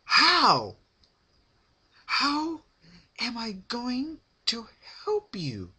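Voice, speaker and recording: A man voicing a frightened, refined female cartoon pony with a raspy, breathy voice, stammering and gasping. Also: very high voice, wildly swooping pitch, extremely slow, bright, forceful tone, pitched above the performer's own voice, heavily acted. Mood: enraged. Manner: stammering, gasping between words.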